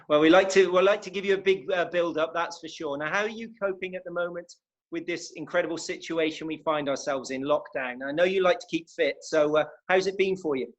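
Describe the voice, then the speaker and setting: A man's voice: a little high, fairly quick, lo-fi sound. A man from England's West Country with a West Country accent, hosting a fundraising interview evening with enthusiasm. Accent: West Country English. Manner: enthusiastic, welcoming. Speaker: interviewer and host.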